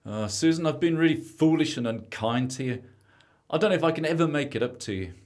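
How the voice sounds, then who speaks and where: A man's voice: fairly high pitch, animated, brisk, a little mellow, close to an everyday voice. A man acting in an amateur radio play as a desperate, self-pitying man, pleading dramatically. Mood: remorseful. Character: Gareth, desperate, self-pitying man, broke after a false lottery win.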